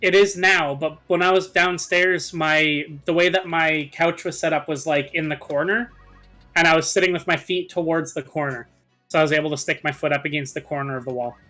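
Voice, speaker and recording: A man's voice: a little high, fairly even intonation, brisk, bright, forceful tone, soft background music. A man chatting casually with friends as co-host of a gaming video, describing things with colorful exaggeration. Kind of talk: casual chat among friends over a game video.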